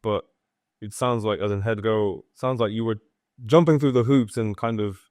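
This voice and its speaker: A man's voice: fairly low pitch, normal speed, a little mellow, no echo, clean studio sound. A man hosting a podcast, reading a scripted intro and asking casual questions full of "like" fillers. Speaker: podcast host.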